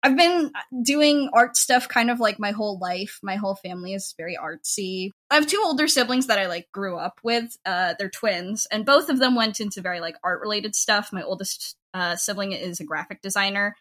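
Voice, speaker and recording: A woman talks casually as a podcast guest. Her voice is bright and forward at a medium pitch. She says "like" often and slips into little quoted remarks as she goes. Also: normal speed, few pauses. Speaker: guest, audio drama writer. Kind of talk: casual chat. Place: at home, remote interview.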